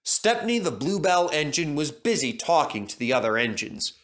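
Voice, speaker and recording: A man narrating a scripted children's story in a theatrical, expressive way. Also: fairly high pitch, moderate pace.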